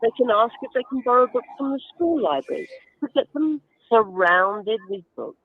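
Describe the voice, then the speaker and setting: A woman's voice: a little high, big pitch swings, normal speed, phone-quality sound. A woman giving explanatory interview answers as a guest, instructive and emphatic, with everyday examples. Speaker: guest author and reading advocate.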